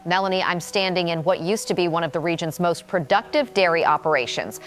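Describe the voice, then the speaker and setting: A woman's voice: lowish voice, a little fast, soft background music, lightly acted. A woman playing a serious field correspondent delivering a formal, scripted live news report in an audio drama. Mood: grave, reporting. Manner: formal, grave.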